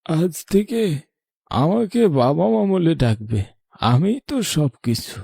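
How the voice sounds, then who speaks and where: Casting: male actor as weary, protective old grandfather for a cartoon; mellow, creaky voice, sharp tongue, soft heart. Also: fairly high pitch, big pitch swings, slow, no room sound, studio quality, heavily acted. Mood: stern. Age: older. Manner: scolding, then tender storytelling. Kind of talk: cartoon voice acting.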